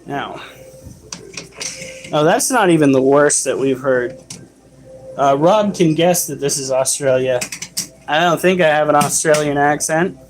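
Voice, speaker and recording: Livestream host: man, slightly higher voice, unhurried, chatty explainer. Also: slight background noise. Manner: chatty, explains tarantula care while answering chat. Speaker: livestream host and pet keeper. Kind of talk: casual livestream chat.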